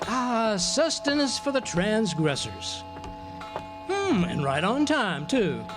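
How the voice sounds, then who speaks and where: An actor playing a wordy, pompous jailed drifter in a live radio drama, with florid, theatrical delivery. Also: male voice, high voice, huge pitch swings, slow pace, music in the background, exaggerated voice. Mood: grandiose. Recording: noisy live amphitheater stage recording.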